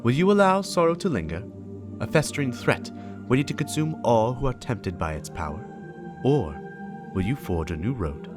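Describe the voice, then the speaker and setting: A man playing a grave veteran warrior and mentor, giving a measured, oratorical dramatic reading of game lore with weighty pauses. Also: medium pitch, close to an everyday voice. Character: solemn veteran warrior mentor passing on a cursed weapon.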